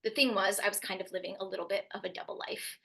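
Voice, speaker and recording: Young woman, bright voice, gravelly edges, earnest personal storytelling, youth speaker. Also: mid-range voice, fairly even intonation, fast. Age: young adult. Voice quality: loud voice. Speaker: youth mental health speaker. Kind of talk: personal story told to an audience.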